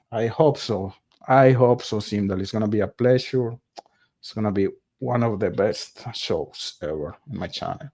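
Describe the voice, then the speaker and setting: Guy hosting a chill live stream, english isn't his first language, slow and hesitant, lots of uhs, lowish voice. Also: a little mellow. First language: not English. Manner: halting, searches for words, many fillers. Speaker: livestream host reading viewer chat. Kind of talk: casual live-stream chat.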